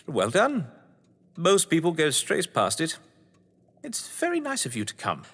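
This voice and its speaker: A man's voice: on the high side, wildly swooping pitch, unhurried, fairly bright tone, breathy edge, heavily acted. An actor playing a jovial, theatrical English crime novelist at his manor, genteel and effusive, in an audio drama. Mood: effusive welcome. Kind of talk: stage thriller audio drama acting. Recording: long reverberant hall echo.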